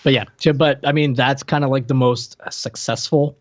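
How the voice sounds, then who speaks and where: A man's voice: mid-range voice, fairly even intonation, normal speed. A man co-hosting a podcast in loose, unscripted pop-culture chat with a joking streak. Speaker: podcast co-host.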